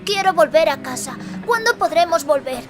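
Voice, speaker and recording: A woman acts as a timid little elf boy in a fantasy radio drama. The voice is high and moves up and down, plaintive but trying to be brave, over clear music. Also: animated, moderate pace. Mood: timid, anxious.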